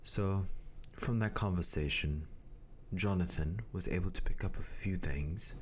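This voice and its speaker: A man's voice: low voice, unhurried, slightly rough. A man narrating a scripted radio drama as its storyteller.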